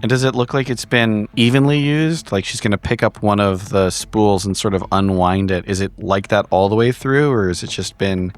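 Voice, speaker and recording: A man's voice: on the low side, normal speed, few pauses. A man improvising narration as a player in a tabletop role-playing game, analytical and precise with his words.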